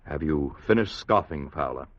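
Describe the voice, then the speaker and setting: An actor playing a confident, dry-witted inventor with an assured, wry manner in a 1950s radio drama style. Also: male voice, a bass voice, expressive, moderate pace. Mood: triumphant. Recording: muffled vintage radio recording.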